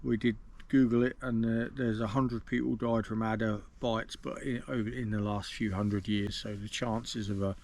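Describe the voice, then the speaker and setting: An English man with a gravelly voice chats through a drink review at a woodland campsite. He talks in measured, roundabout sentences full of restarts. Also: lowish voice, flat delivery, darkish tone. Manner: measured, explains at length with many restarts. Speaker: vlogger host. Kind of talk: casual outdoor review chat. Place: outdoors in woods at a campsite.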